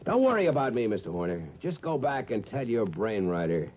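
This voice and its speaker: An actor playing a cocky, carefree young painter, brash and exuberant, in a 1950s radio drama. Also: male voice, medium pitch, sing-song, fairly quick, a little hiss or hum, natural voice. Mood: defiant, mocking. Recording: muffled old radio recording, music behind.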